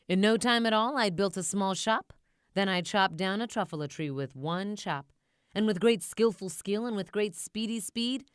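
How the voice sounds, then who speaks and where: A woman's voice: mid-range voice, dry recording. Woman reading a children's story, dry recording. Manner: rhythmic, theatrical reading of verse. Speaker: storybook reader. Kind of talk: expressive scripted children's story narration.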